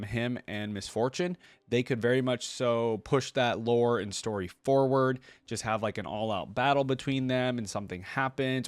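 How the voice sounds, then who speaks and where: A man's voice: mid-range voice, no room sound. A man hosting a reaction video, giving casual, informal commentary and thinking aloud as he goes.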